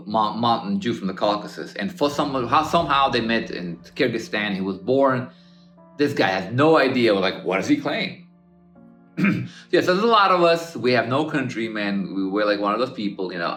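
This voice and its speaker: A man's voice: lowish voice, a bit bright. A man in his thirties, born in Azerbaijan with Russian as his first language, talks bluntly and rambles in a casual monologue. He swears freely and keeps calling his listeners man and bro. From born in Azerbaijan, raised in Russia and Armenia. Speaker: artist and podcast host. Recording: echoey room with faint music.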